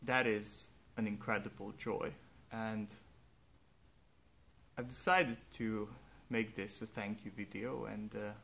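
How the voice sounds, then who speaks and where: A young man, a native Hungarian speaker, speaking slowly and earnestly in a reflective personal monologue with faint background noise. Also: fairly low pitch, fairly even intonation, vocal fry, sharp tone. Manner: slow, thoughtful, earnest. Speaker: vlogger.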